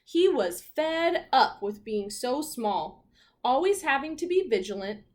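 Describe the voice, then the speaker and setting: Slow, high-voiced woman teacher reading aloud. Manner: expressive, instructive, prompts listeners with questions. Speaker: primary school teacher. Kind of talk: children's picture book read-aloud with questions to the class.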